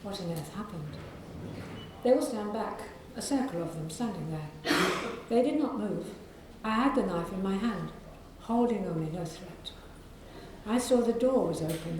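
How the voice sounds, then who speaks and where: A woman with a soft, croaky voice and a mellow, dark tone reads from her own novel, measured and unhurried. She speaks in a very echoey library room with faint background noise. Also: mid-range voice. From England. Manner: measured reading with clearing of the throat. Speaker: author reading her novel. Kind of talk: reading aloud from a novel at a library event.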